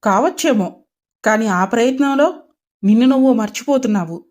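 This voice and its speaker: A woman's voice: on the high side, animated, normal speed, a little mellow, pitched below the performer's own voice, lightly acted. A woman voicing a loving, worried and insistent mother of a grown son in a dramatised story, pleading earnestly.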